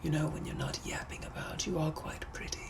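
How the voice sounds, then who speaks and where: A man playing a menacing, possessive gentleman from the 1800s, speaking in a hushed, breathy, put-on voice. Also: a little high, fairly even intonation. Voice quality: hushed breathy whisper, drawn-out purring delivery. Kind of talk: POV comedy skit.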